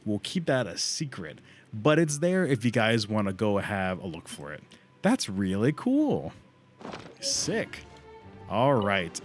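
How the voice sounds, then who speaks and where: A man with a fairly low voice streams a game with music playing behind him. He thinks aloud in loose, informal commentary and swears freely. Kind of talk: casual livestream commentary.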